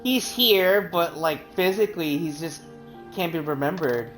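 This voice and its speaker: A woman's voice: fairly low pitch, a little slow. A woman streaming a game, chatting casually, laughing often and swearing freely. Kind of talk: casual live game commentary. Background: game music.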